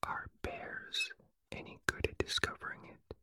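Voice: measured pace, pushed and bright, no room sound. A storyteller voicing a naive, eager, muddled male toy bear from a children's storybook in a whisper. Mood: wondering. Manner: whispered, simple, wondering.

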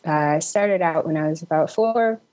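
A woman's voice: deep voice, moderate pace, darkish tone. A young woman from Houston, Texas, talking conversationally as an interview guest on a lo-fi connection. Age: young adult. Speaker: interview guest, actor and director.

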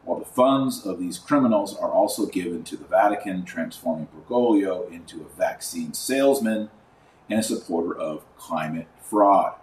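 A man with a fairly low voice delivers religious podcast commentary. He is emphatic and indignant, leaning on rhetorical questions and sarcastic asides. The sound is clearly roomy, as if he sits a little way from the microphone. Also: a little mellow, distant mic, slight background noise.

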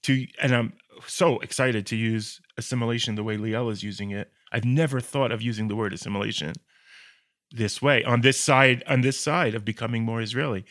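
Host: curious, eager man, mid pitch, dry close sound. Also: fairly even intonation, brisk, fairly bright tone, no room sound. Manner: curious and eager, asks questions. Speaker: podcast host. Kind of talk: casual interview conversation.

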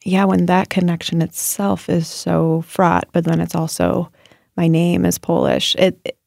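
A woman's voice: lowish voice, little pitch movement, measured pace, vocal fry, a little mellow, dry recording, clean studio sound. A woman writer answering interview questions in a thoughtful, searching way, with many fillers and restarts. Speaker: writer and guest.

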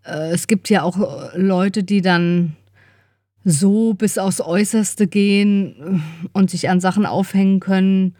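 A woman's voice: mid-range voice, steady tone, measured pace, mellow, dry recording, clean studio sound. Slightly congested woman, casual podcast co-host.

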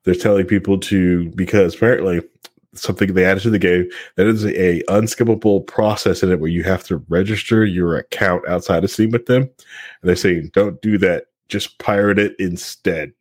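A man's voice: low voice, fairly even intonation, moderate pace, darkish tone, no echo, studio quality. A man hosting a podcast in a casual chat, with a joking, rambling way of talking. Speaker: podcast host.

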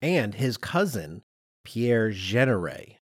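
A middle-aged man co-hosting a podcast in a conversational, animated and emphatic way, with some self-deprecation. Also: on the low side, takes it slowly, slightly rough, dry recording, studio quality. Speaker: podcast co-host.

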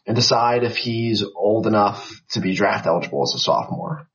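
Shrill-voiced man on a lo-fi mic. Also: lively intonation, a little fast, a bit bright. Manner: hesitant, many fillers and restarts. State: tired from a late night. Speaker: baseball draft writer, podcast guest. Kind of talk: casual podcast chat. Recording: lo-fi podcast mic.

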